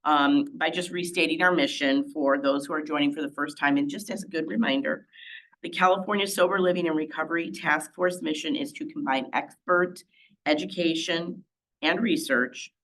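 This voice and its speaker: An older woman with a cold, clearing her throat as she formally opens a public task force meeting as its chair. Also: low voice, moderate pace, rough recording. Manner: steady, formal, with many ums. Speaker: city council member chairing a meeting. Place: meeting room.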